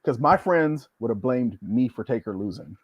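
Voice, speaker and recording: A man's voice: medium pitch, expressive, normal speed, breathy edge, no room sound. A man chatting as a podcast guest, telling casual stories in loose, conversational phrasing. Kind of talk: casual storytelling chat. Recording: dry, slightly dull lo-fi audio.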